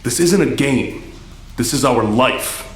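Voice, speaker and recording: An actor voicing a young male mutant brawler, sincere, steady and idealistic, in an animated drama. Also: mid-range voice, takes it slowly. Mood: earnest. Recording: echoey hall with faint noise.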